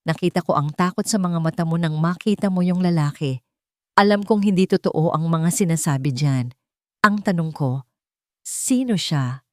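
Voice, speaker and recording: A woman's voice: fairly low pitch, moderate pace, no echo, clean studio sound, natural voice. A woman with a Filipino accent voicing a protective, authoritative wealthy man in a romantic story. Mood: firm, concerned. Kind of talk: romantic audio drama storytelling.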